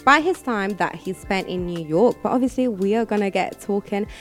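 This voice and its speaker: A woman's voice: mid-range voice, normal speed, faint music behind. A woman hosting a casual interview, chatty and slangy, with enthusiastic reactions. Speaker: radio show host and interviewer.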